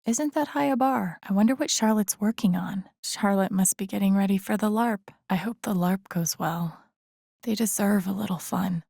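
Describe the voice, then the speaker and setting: Young game heroine gal, earnest n a bit wry, gravelly fry, close dry sound, kinda thinking out loud. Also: female voice, mid-range voice, dry recording. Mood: concerned, reflective. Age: young adult. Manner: introspective, understated. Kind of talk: intimate inner-monologue game voice acting.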